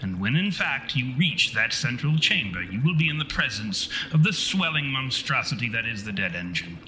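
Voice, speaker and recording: A man's voice: medium pitch, very animated, moderate pace, sharp tone. A man voicing the vengeful ghost of a conceited, long-winded parapsychologist in a tabletop horror game, pompous and theatrical in formal speech. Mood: ominous. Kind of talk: tabletop horror role-play. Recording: reverberant hall sound, noisy ambience behind.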